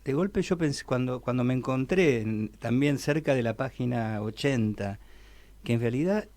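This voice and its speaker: A man's voice: mid-range voice, expressive, moderate pace, a little mellow. Stammering man hosting radio. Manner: stammering, repeats words while forming questions. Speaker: radio host. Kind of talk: radio interview.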